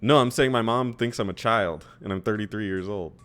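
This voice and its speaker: A man's voice: lowish voice, a little fast, fairly bright tone, clear, resonant tone, faint music behind. A man in his thirties co-hosting a gaming podcast, chatting casually, laughing easily and poking fun at himself. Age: 30s. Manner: laughs easily, self-deprecating. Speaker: podcast co-host. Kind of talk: casual chat.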